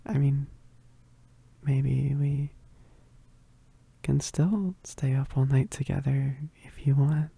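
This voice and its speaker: Woman acting shy needy werewolf roommate for asmr, slow halting whispery talk, really soft and mellow, close mic. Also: rumbling low, steady tone, gravelly, breathy edge, full character voice. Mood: shy, playful. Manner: halting, stammering, bashful, often dropping into whispers. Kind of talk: ASMR romantic roleplay. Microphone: close microphone.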